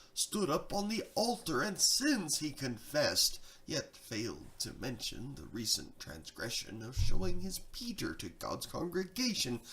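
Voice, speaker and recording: A man's voice: medium pitch, creaky, a bit bright. A man reading a darkly comic rhyming tale in a theatrical, rhythmic storytelling style. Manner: dramatic, sing-song rhythmic delivery. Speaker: storyteller, reader.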